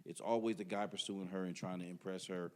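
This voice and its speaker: A man's voice: sits low, fairly even intonation, brisk. A middle-aged man in casual podcast debate, talking emphatically and repeating words when others cut in. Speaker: podcast guest.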